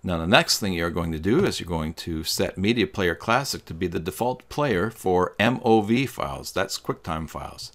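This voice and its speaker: A middle-aged man giving a step-by-step software tutorial in a plain, instructional manner. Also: low voice, expressive, moderate pace, few pauses. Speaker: tutorial host. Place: small indoor room with green screen.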